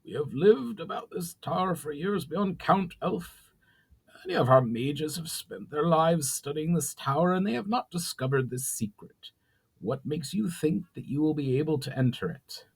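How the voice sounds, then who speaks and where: A man's voice: a little high, lively intonation, moderate pace, vocal fry, breathy edge, voice pushed up, exaggerated voice, low-quality recording. A man voicing a huge, suspicious goblin chieftain with a sneering, commanding, heavily accented manner in a storybook reading. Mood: challenging.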